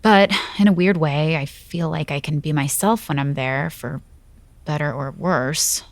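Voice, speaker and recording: An actress playing a young adult daughter who is candid, determined and casually teasing, in an audio drama. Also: female voice, sits low, a little slow, dry recording. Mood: wry, determined. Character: candid, wry, determined grown daughter of a leader.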